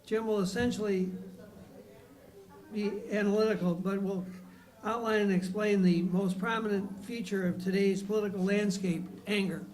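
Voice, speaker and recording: A man's voice: sits high, fairly even intonation, normal speed, darkish tone, poor audio. A man hosting a club meeting, reading announcements and introducing the guest speaker with hesitant fillers.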